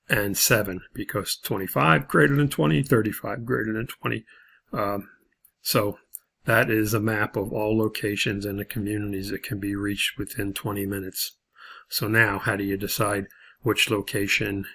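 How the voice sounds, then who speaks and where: Low, flat-toned male instructor. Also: gravelly, husky. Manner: hesitant, methodical step-by-step explaining. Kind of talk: informal explanatory video lesson, working through problems.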